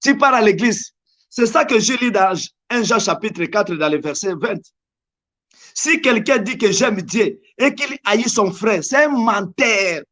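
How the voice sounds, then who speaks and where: A man's voice: sits high, lively intonation, pushed and bright. A man from Congo with a Congolese French accent, his first language Lingala, preaching emphatically as an evangelist guest on a TV talk show. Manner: emphatic, preacherly, slow stressed scripture lines.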